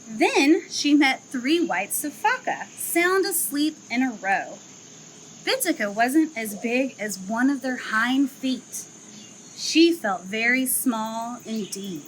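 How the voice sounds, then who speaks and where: Bright-voiced woman reading a storybook slowly. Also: a little high, a little hiss or hum, low-quality recording. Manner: slow, expressive storytelling, livelier chat afterward. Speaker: zoo educator reading a picture book. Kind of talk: storybook read-aloud to children. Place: outdoor zoo enclosure with trees.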